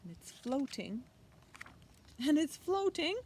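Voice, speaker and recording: A woman's voice: sits high, takes it slowly. A middle-aged woman hosting children's storytime outdoors, speaking simply and expressively to young children. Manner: expressive, simple child-directed talk with questions. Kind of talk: picture book reading and children's lesson. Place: outdoors by a leafy hedge. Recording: outdoor recording with faint noise.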